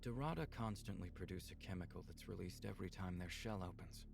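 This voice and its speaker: A man acts out a gentle alien man in a game, with a very low, creaky voice. He speaks earnestly and explains things in a measured, reassuring way, with music clearly playing behind. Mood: calm, reassuring. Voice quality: low, gravelly.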